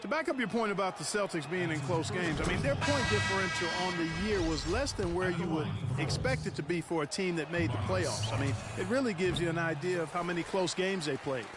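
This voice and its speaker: A man's voice: sits high, a little fast, hardly any pauses, natural voice. A man playing an agreeable, opinionated TV basketball analyst in a video game, explaining plays conversationally. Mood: analytical. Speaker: color analyst. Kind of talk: conversational sports commentary.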